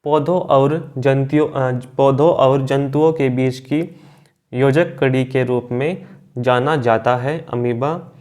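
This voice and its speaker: A man's voice: medium pitch, fairly even intonation, slow, a little mellow. Man teaching exam quiz. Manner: instructive, addressing students, repeats answers and catchphrases. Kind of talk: quiz lesson, reading out questions and answers.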